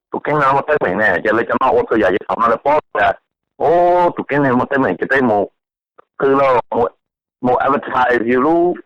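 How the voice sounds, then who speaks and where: A man's voice: medium pitch, dry recording. A man talking casually as a participant on a conference call. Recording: dull lo-fi telephone line.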